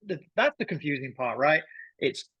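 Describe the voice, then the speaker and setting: A man with a husky voice chatting casually on a sports podcast, emphatic and often repeating his phrases. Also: fairly high pitch, big pitch swings, moderate pace, sharp tone, no echo, muffled recording. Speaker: podcast guest.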